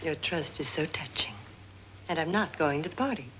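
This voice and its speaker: Low-voiced, icy millionaire's wife. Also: female voice, expressive, normal speed. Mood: cutting. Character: cool, disdainful, sharp-tongued millionaire's wife. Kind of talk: 1950s horror film acting. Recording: dull, noisy vintage film soundtrack.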